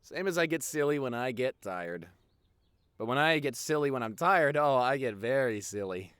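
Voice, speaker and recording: A man's voice: medium pitch, expressive, a bit bright, smooth, no room sound, natural voice. A man playing a teasing, silly, doting boyfriend who has just woken up, rambling and gushing in an intimate audio roleplay. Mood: playful, silly. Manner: rambling, gushing, halting with repeated words.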